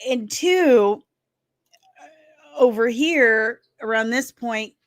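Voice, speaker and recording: A woman with a St. Louis Midwestern accent hosts a chatty pop-culture show, sarcastic and quick to laugh. Her voice sits a little high, and she talks slowly. The sound is close and dry. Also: no echo. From St. Louis, Missouri. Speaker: host of a daily pop-culture news show. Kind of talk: casual commentary over played clips.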